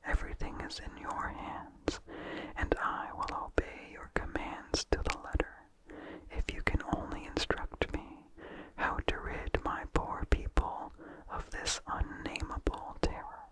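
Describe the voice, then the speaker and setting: Slow, fully whispered ASMR story reader. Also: low-quality recording. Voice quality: unvoiced, breathy whisper with soft mouth sounds. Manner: slow, deliberate phrasing with pauses. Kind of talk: whispered ASMR reading of a vintage horror story.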